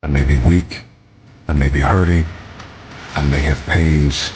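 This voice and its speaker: Very low-voiced male motivational speaker. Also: steady tone, a little mellow, a little hiss or hum. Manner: emphatic, deliberate phrases with rhetorical repetition. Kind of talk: motivational speech.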